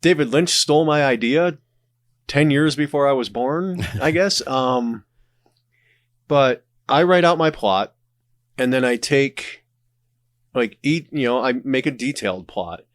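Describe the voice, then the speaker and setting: A man's voice: medium pitch, a little slow, no echo, clean studio sound. A man speaking as a podcast guest, explaining his creative process in casual conversation. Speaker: podcast guest, writer and audio drama creator.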